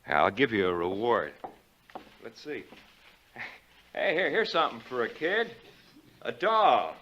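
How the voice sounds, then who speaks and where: A man's voice: mid-range voice, big pitch swings, a little slow, sharp tone, a little hiss or hum. An actor playing a nervous, menacing man with a guilty secret, stammering and evasive, in 1940s radio suspense style. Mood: nervously coaxing. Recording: dull, band-limited 1940s radio recording.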